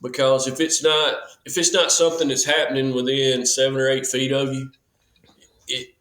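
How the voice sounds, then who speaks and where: A man's voice: mid-range voice, flat delivery, moderate pace, fairly bright tone. A man in his forties, a podcast guest, chatting casually in an easygoing, folksy way with frequent restarts. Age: 40s. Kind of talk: casual chat. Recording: echoey room.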